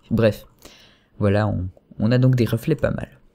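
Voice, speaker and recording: A young man giving a casual screen-recorded software tutorial at his computer, chatty and hesitant, full of fillers and self-corrections. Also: lowish voice, fairly even intonation, a little slow.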